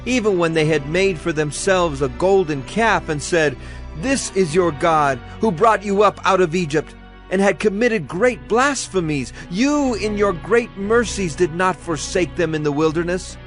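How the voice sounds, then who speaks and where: A man's voice: high voice, expressive, measured pace, a bit bright. A man playing a devout Levite in a dramatized scripture reading, exalting God in solemn public prayer with fervent, chant-like declaiming. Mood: reverent, grateful. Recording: music bed under the voice.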